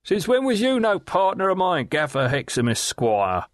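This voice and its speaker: A man putting on a high, wheedling river scavenger. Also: huge pitch swings, normal speed, no room sound, clean studio sound. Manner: wheedling, coaxing, slangy. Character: leering, wheedling male river scavenger, lowborn.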